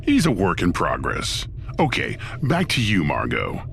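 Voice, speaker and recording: A man's voice: sits low, huge pitch swings, unhurried, vocal fry, a bit bright, slight background noise, full character voice. An actor playing Satan, a smug, sardonic and theatrical ruler of Hell who toys with his listeners in an audio drama. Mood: brisk, commanding. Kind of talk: scripted audio drama acting.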